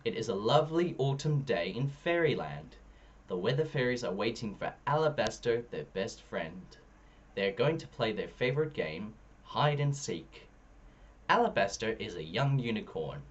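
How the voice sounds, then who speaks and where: A man reading a children's story aloud expressively, shifting his pitch between narration and dialogue. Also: sing-song, moderate pace, husky, lo-fi sound. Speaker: storybook reader.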